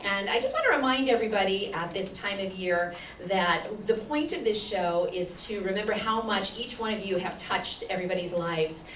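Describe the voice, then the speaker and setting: A woman's voice: mid-range voice, sing-song, moderate pace, few pauses, a bit bright. Chatty woman addressing an echoey hall. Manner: chatty, informal, upbeat. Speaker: class leader introducing a live show. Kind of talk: informal welcome speech to audience. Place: auditorium with live audience. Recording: echoey hall from far off, faint noise.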